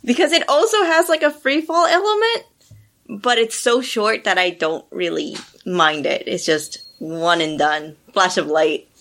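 Giggly guest talking roller coasters, mid-pitch voice. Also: measured pace. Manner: giggly, animated. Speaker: podcast guest. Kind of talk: casual chat.

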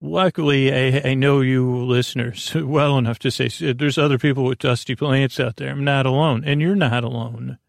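A man with a creaky voice hosting a bedtime podcast, meandering hesitantly, mumbling and trailing off in a goofy way. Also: medium pitch, fairly even intonation, moderate pace, few pauses, no room sound, clean studio sound. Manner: silly, goofy. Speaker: podcast host. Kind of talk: rambling bedtime podcast monologue.

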